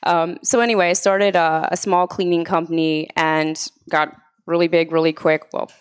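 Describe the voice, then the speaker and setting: A woman's voice: low voice, steady tone, moderate pace, clean tone. Upbeat woman in her thirties. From Pennsylvania. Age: 30s. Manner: upbeat, eager, laughs easily. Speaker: guest. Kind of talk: casual chat.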